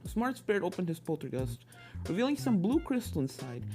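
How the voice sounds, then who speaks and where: A woman's voice: on the low side, big pitch swings, fairly quick, warm tone, soft background music. A woman reading a scripted story aloud as its narrator. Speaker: fan fiction reader, narrator.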